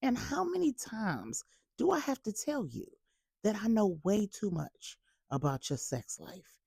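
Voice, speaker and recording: A woman's voice: mid-range voice, sing-song, measured pace, gravelly, a little mellow, breathy edge, no echo, clean studio sound, voice pushed up, exaggerated voice. A woman voicing a regal, scolding mother and family matriarch with a formal, exasperated manner, in an audio story.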